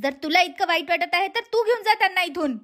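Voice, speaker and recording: Cruel cartoon aunt, played by a female narrator: high voice, harsh, scolding, short-tempered. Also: lively intonation, fast talker, a bit bright. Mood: threatening, angry. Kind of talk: cartoon moral story voice acting.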